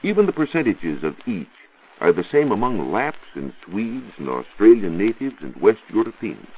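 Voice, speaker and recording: A man's voice: on the low side, husky. An American man working as a science reporter, explaining in a formal, didactic way in a scripted 1940s educational radio talk. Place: radio studio. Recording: muffled 1940s radio recording.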